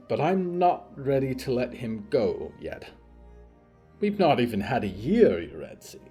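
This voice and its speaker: A man's voice: medium pitch, mellow. A man voicing a proud, protective, irritable man in an audio horror drama, speaking in an insistent, formal way.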